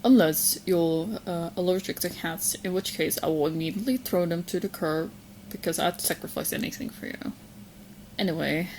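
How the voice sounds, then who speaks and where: A woman acting as a lovesick yandere witch with a fairly low, young voice. She talks sweetly and playfully to her beloved in an ASMR audio roleplay, possessive underneath the cuteness. Noticeable background noise sits behind her. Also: darkish tone, lightly acted, poor audio. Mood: smug, possessive. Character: sweet, romantically obsessed yandere witch girlfriend. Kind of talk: ASMR roleplay audio drama, spoken to the listener.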